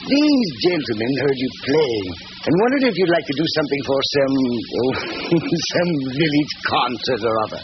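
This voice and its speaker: A man's voice: medium pitch, sing-song, moderate pace, few pauses, natural voice. An actor playing an affable, offhand, well-off man of the house in 1940s radio drama, with a breezy casual manner. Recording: muffled, noisy old radio recording.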